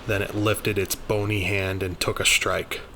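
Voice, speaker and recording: A man narrates a scripted horror story in the first person. His voice is on the low side, with a strong bright, forceful edge. There is faint noise in the background. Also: flat delivery, a little slow.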